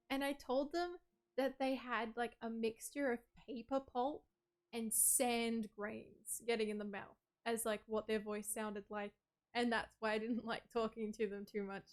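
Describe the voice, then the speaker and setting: A woman's voice: a little high, fairly even intonation, unhurried. A woman chatting casually on a livestream, rambling with lots of "like" and "um" and laughing often as she reads out viewer messages.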